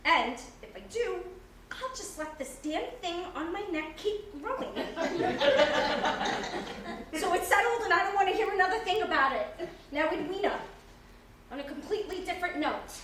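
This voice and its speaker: A woman's voice: very high-pitched, animated, normal speed, fairly bright tone. A woman reading the part of a bossy, sarcastic, meddling friend in a stage play. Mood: insistent. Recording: distant echoey stage recording with noise.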